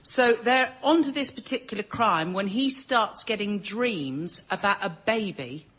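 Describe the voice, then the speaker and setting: A woman's voice: mid-range voice, animated, a little slow, fairly bright tone. A woman telling a comic idea as a panellist, rambling and laughing as she talks. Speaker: comedy panellist. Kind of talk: conversational comic storytelling. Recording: audience and faint noise behind. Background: studio audience.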